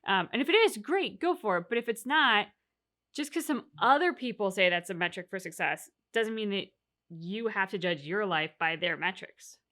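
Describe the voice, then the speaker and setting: A woman with a clearly bright, forward voice sits at a middle pitch. She co-hosts a reaction show and talks casually, in a reflective way, unpacking each point with examples. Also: animated, normal speed. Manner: reflective, explains at length with examples. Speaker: reaction channel co-host. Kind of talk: casual discussion of a video game story.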